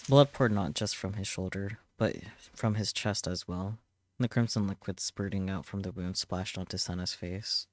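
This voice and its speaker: A man's voice: sits low, normal speed, no room sound. A man reading a visual novel aloud on a stream as dramatic story narration.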